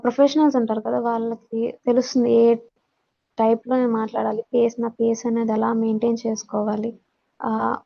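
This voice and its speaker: A woman teacher with a Telugu Indian accent explains points in a fairly high, very flat voice with a creaky edge. She mixes in English terms and pauses hesitantly. The audio is dull. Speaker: teacher giving a communication skills lesson. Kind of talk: explanatory lecture, reading out points then explaining.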